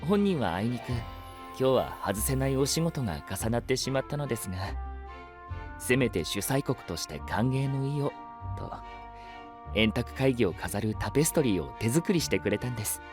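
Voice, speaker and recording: Anime drama: male actor as composed, formal young knight chairing a council, courteous, mid-range, over clear music. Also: medium pitch, big pitch swings, normal speed, lightly acted. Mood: gracious. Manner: polite, formal, gentle. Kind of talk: Japanese anime audio drama acting.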